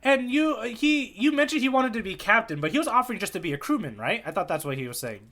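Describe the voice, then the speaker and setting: A man's voice: sits high, expressive, fast, sharp tone. A man co-hosting a podcast, chatting casually and chiming in on his partner's recap. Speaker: podcast co-host and guide. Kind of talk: casual chat, interjecting.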